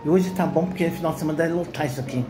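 A man's voice: fairly high pitch, rapid-fire. An older man with a Brazilian accent, chatting casually to viewers on a phone camera in a cat café, delighted by the cats. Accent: Brazilian Portuguese. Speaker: vlogger. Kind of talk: casual vlog chat. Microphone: phone held at arm's length. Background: background music.

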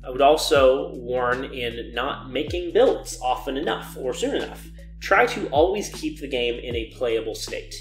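A young man speaks as an organizer giving practical advice in an informal talk. His voice sits at a medium pitch, and he leans earnestly on emphatic words. The room adds a clear echo, with a little music underneath. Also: expressive, unhurried. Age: young adult. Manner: earnest, emphatic, repeating intensifiers. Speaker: game jam organizer giving a keynote talk. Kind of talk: informal advice lecture to camera. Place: living and dining room at home, indoors. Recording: roomy indoor echo.

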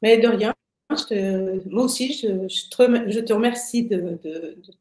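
A woman of sixty-six gives a personal account in conversation, often halting to find her words. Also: mid-range voice, a little mellow. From Paris, France. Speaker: interview guest, retiree. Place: indoors, plain room on video call. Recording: roomy, echoing video call.

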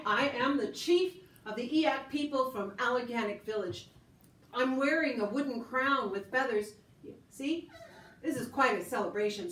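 A woman's voice: a little high, a bit bright, close to an everyday voice. Echoey, playful puppet chief voiced by a woman. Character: bashful yet boastful, playful Eyak chief puppet. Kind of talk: educational puppet show monologue. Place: indoor room, puppet stage. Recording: echoey room, slightly distant mic.

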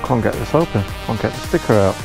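A man's voice: medium pitch, animated, fast, vocal fry, music in the background. A man chatting casually to camera outdoors at a roadside, rambling and self-deprecating as he talks. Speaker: motorcycle vlogger. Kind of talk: casual vlog talk to camera. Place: outdoors by a roadside phone box. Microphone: head-mounted action camera.

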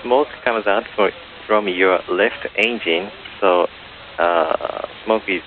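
A man working as an air traffic controller, giving procedural instructions haltingly over aviation radio. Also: deep voice. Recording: narrowband radio transmission with hiss.

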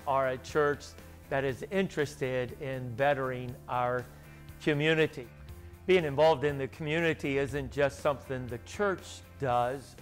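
Older american guy, pastor type, talking to camera kinda halting and measured, bit of music behind him. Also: mid-range voice. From Baltimore, United States. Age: 60s. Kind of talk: personal testimonial to camera. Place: indoors by a window. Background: instrumental music.

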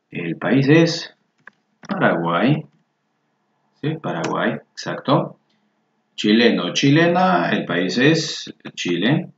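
A man teaching a Spanish lesson over a screen recording, patiently spelling out each word form aloud. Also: mid-range voice. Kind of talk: slow screen-share lesson walkthrough. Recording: roomy, dull lo-fi recording.

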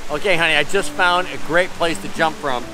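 A middle-aged man vlogging outdoors, talking casually and coaxing playfully. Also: a little high. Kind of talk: casual travel vlog. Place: outdoors by a waterfall. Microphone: camera held at arm's length. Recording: rushing waterfall noise behind. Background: waterfall.

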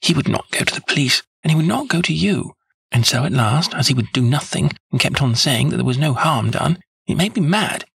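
Man putting on a flustered young woman, husky and lifted. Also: a little high, lively intonation, brisk, fairly bright tone, no room sound, voice pushed up, full character voice. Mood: indignant. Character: nervous, rambling, good-humoured young woman client. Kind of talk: audiobook character voice.

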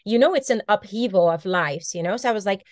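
A woman in her forties, a native Dutch speaker, telling her story with animated gestures over a video call from a bright room at home. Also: mid-range voice, fast talker, no room sound, clean studio sound. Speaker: guest, mindset coach. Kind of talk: casual video-call interview. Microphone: video call laptop mic.

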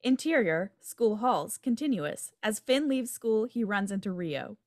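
A woman reads out script directions for a table read. Her voice sits at a middle pitch, recorded on a video call. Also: animated, measured pace. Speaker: stage directions reader. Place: indoor room, video call. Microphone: video call microphone.

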